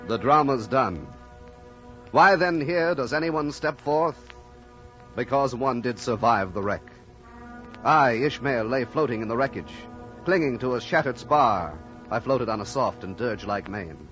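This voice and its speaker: Solemn shipwrecked sailor, dramatic radio-play narration, incantatory, dull vintage recording, loud orchestral backing. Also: male voice, medium pitch, lively intonation, measured pace. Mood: somber, elegiac. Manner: grave, dramatic, incantatory repetition. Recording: old muffled radio recording, music behind.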